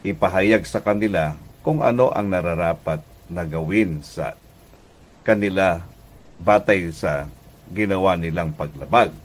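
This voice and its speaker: A Filipino man preaching a radio devotional as pastor and host, deliberate in delivery, with pauses and emphatic repetition. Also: deep voice.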